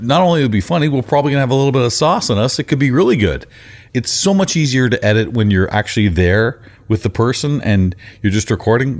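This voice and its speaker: A man in his fifties co-hosting a podcast, chatting casually and teasing his co-host with dry jokes. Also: medium pitch. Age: 50s. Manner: joking, teasing.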